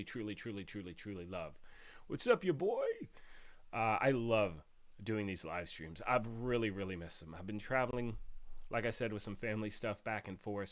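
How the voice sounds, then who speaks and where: A man with a fairly low voice chats loosely on a gaming live stream, greeting viewers by name and circling back over his own words. He is getting over a cold, so his voice comes out slightly raspy. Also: a bit bright. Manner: chatty, greets viewers by name, rambling restarts.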